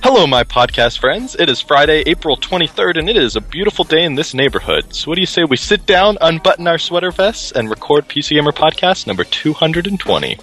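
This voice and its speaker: A man's voice: on the high side, sing-song, a little fast, few pauses, fairly bright tone, soft background music. A man hosting a casual gaming podcast, reading intros in a playful, theatrical way. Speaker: podcast host.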